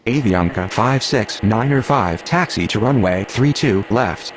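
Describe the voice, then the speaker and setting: Male air traffic operator for a game, actor: lowish voice, businesslike, scripted radio phraseology. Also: lively intonation, a little slow. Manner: formal, procedural.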